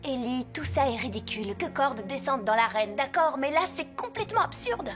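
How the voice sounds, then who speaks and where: An actress playing a sensible, wry young sidekick in a dubbed cartoon. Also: female voice, high-pitched, expressive, normal speed, music in the background. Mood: exasperated, wry. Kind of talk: cartoon dub acting.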